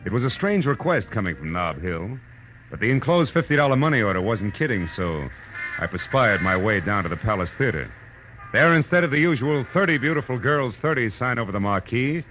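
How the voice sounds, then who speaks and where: An American actor playing a wry, world-weary private detective, narrating in punchy deadpan 1940s radio noir style. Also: male voice, medium pitch, moderate pace, rough recording. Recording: old radio drama, music underneath.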